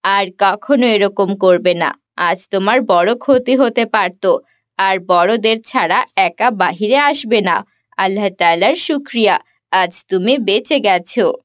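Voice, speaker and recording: A woman's voice: medium pitch, unhurried, dry recording, studio quality, voice pushed down, close to an everyday voice. A woman voicing a stern, protective older brother who speaks firmly in a children's moral cartoon. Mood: scolding. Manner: firm, admonishing.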